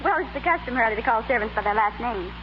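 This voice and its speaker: A woman playing a polite, gently firm young lady of a wealthy household, in 1930s radio style. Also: fairly high pitch, expressive, fast, close to an everyday voice. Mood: polite, hesitant. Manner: well-bred, formal, gently firm. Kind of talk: 1930s radio comedy acting. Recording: scratchy, muffled 1930s radio recording.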